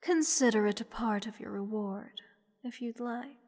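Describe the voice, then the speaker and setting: A woman voicing a playful, haughty goddess in an intimate fantasy audio roleplay, teasing and condescending toward a captive mortal in measured, theatrical speech. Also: a little high, a little mellow. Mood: gleeful, condescending. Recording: long reverb with music behind. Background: orchestral music.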